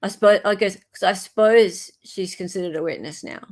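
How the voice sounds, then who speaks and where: A woman's voice: medium pitch, fairly quick, a little mellow. An Australian woman host giving casual live commentary, musing aloud in an informal way.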